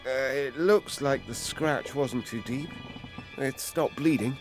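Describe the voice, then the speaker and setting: A man's voice: medium pitch, takes it slowly, faint music behind, natural voice. A man playing a fatherly ship's captain in an audio drama, soothing, sceptical and a little hesitant.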